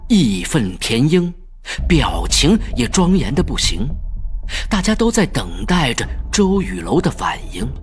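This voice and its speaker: A man's voice: medium pitch, wildly swooping pitch, measured pace, faint music behind. A man narrating a scripted horror story as a storyteller.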